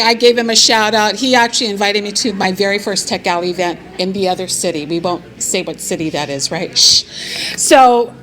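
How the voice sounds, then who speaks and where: A woman's voice: on the high side, background noise. A middle-aged woman from Hawaii, a business consultant giving a live talk on stage to an audience, upbeat and engaging the crowd with questions. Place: event venue stage.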